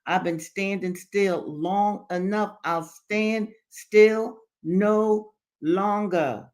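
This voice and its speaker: A woman's voice: fairly low pitch, measured pace, natural voice. A woman voicing a defiant, embittered enslaved man in a dramatic novel reading, speaking emphatically and formally in rebuke. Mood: impatient.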